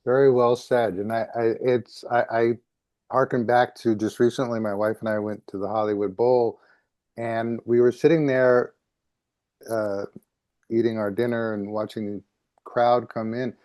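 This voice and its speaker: Mellow man interviewing, dry sound. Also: mid-range voice, steady tone, unhurried, dry recording. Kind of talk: casual interview talk.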